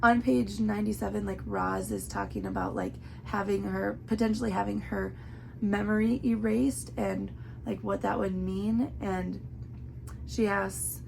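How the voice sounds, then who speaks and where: Hoarse young woman rambling about a book, super gravelly fry, faint hiss behind. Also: mid-range voice, steady tone, measured pace, darkish tone, rough recording. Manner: chatty, many "like" fillers, earnest. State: hoarse, voice worn out. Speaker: book reviewer. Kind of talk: casual book review vlog.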